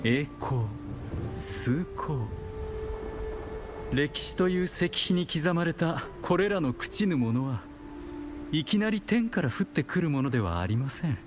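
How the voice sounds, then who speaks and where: An actor playing a detached, wry chronicler in a video game, narrating in a polite, formal and measured way. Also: male voice, on the high side, big pitch swings, music in the background. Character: composed, detached male chronicler narrating a heroine's saga.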